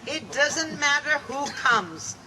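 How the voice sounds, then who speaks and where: A woman performing a comedic reading, playful and laughing, measured in delivery. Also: high-pitched, expressive. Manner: playful, laughing, slow and deliberate. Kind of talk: live comedic dramatic reading. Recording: distant mic in a noisy live room.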